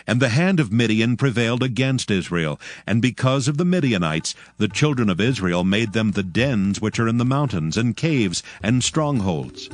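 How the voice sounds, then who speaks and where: A man giving a measured, solemn scripted narration of scripture. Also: lowish voice, animated. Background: music.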